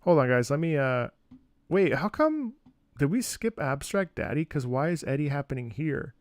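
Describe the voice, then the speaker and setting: A man's voice: on the high side, big pitch swings, normal speed, dry recording, studio quality. A man giving casual, playful live stream commentary on game cutscenes, swearing freely.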